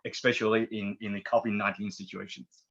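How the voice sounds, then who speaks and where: Man from Taiwan, panelist, lowish forceful voice, halting delivery, dull call audio. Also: flat delivery, creaky, breathy edge. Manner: halting, repeats words. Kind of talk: online panel introduction.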